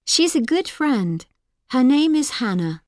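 Slow, lilting woman reading drills. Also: high-pitched, very animated, a little mellow, no room sound, studio quality. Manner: carefully enunciated drill reading. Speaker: course audio speaker. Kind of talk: slow, clear example sentences for learners. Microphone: dry studio mic.

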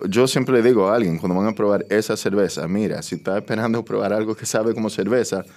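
Low-voiced Dominican man, slipping into English. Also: quick pace, darkish tone. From Dominican Republic. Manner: switches into English phrases mid-sentence. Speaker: interview guest, craft brewery and bar owner. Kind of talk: casual conversational interview.

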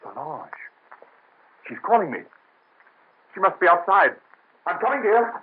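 Haunted doctor, husky and animated, tinny old radio. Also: male voice, high-pitched, measured pace, a little hiss or hum. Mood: frantic. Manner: fretful, pleading. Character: anxious male physician, haunted and desperate. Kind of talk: 1930s radio drama.

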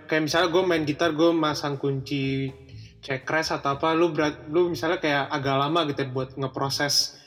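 Higher-voiced man in echoey podcast chat. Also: fairly even intonation, moderate pace, fairly bright tone. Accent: Indonesian, Jakarta colloquial. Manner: informal, repeats short affirmations. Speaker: podcast host. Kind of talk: casual interview chat. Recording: echoey hall sound, music behind.

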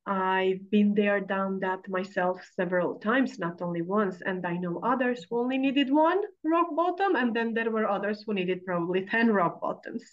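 A woman hosting a podcast, introducing her guest with effusive, drawn-out phrasing. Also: medium pitch, animated. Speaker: podcast host. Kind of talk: warm interview introduction. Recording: dull lo-fi sound.

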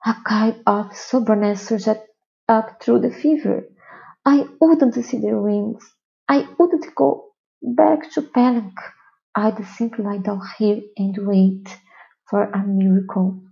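Mellow woman reading slowly, muffled recording. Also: medium pitch, creaky. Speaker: participant reading aloud. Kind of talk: reading travel narrative aloud. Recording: dull lo-fi voice message.

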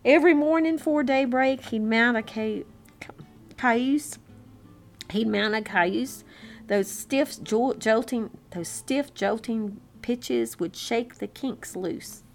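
A woman reading a children's story aloud in an expressive, unhurried, amused manner, with chatty asides. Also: a little high. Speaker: children's story-time reader. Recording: classical violin music bed. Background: classical violin concerto background track.

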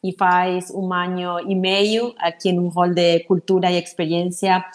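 A middle-aged woman from Argentina with an Argentine Spanish accent, a native Spanish speaker, answering as a podcast guest and pausing thoughtfully with fillers. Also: lowish voice, level tone. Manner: thoughtful, hesitant with frequent fillers. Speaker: guest, HR executive. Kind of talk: podcast interview.

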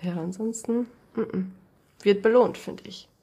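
A young woman talks in a relaxed, casual way as a podcast guest. Her voice sits at a middle pitch. She laughs often and fills her sentences with little hesitations. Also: measured pace, husky. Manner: chatty, laughs often, many fillers.

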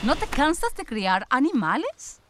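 An actress playing a sharp-tongued, reproachful sister back from exile in a radio drama, ironic and probing. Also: female voice, high-pitched, big pitch swings, a little slow, noisy background. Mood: breezy.

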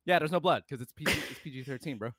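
A man's voice: mid-range voice, racing, crowd noise. A man co-hosting a podcast, reacting in casual, slangy conversation about a film. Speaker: podcast co-host. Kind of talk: casual film review chat.

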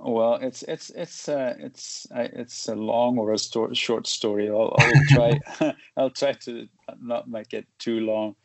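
A man in his forties, born and raised in Belgium, telling his story as a guest in a casual interview, halting with many restarts and fillers. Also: medium pitch, fairly even intonation, gravelly, over a noisy crowd. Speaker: guest, photojournalist.

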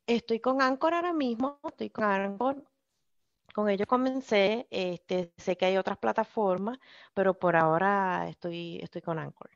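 A woman's voice: medium pitch, moderate pace, vocal fry, dry recording. A Puerto Rican woman speaking as a podcast guest in casual chat, hesitant, with many filler sounds. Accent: Puerto Rican Spanish. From Puerto Rico. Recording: dull lo-fi sound.

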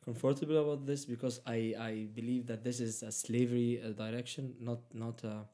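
A young man whose first language is Arabic, speaking English in an easy conversational way. He stops and restarts often and pads his sentences with fillers. His pitch sits at an ordinary middle level. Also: level tone, brisk, warm tone. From Palestinian, raised in Egypt. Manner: hesitant, many fillers and restarts. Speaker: guest. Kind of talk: casual conversation.

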